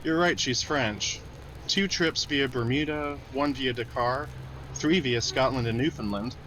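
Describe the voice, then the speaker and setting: Stern intelligence official male actor, mid-range voice, measured radio mystery delivery, slight background noise. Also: medium pitch, fairly bright tone, close to an everyday voice, poor audio. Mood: businesslike. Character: grim male government intelligence officer briefing an agent. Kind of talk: old-time radio drama acting.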